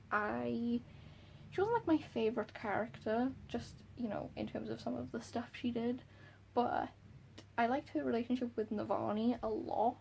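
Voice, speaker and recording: Giggly Welsh woman with gravelly voice. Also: on the high side, mellow, slight background noise. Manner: giggly, chatty, self-deprecating. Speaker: book vlogger. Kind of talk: casual chat to camera. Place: room at home by a window.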